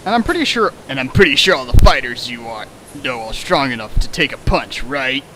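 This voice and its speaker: A man's voice: medium pitch, lively intonation, fairly quick, background noise. A man hosting a streamed fan fiction reading, casual, playful and mocking as he reads and riffs. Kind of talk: casual riffing and dramatic reading.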